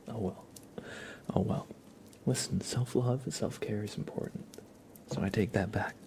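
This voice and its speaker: A man's voice: on the low side, a little mellow, slight background noise. A man hosting an ASMR livestream, chatting casually and playfully with viewers in a whispery, slightly stuffed-up voice close to the mic. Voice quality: stuffy nose. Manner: whispery, playful, teasing banter.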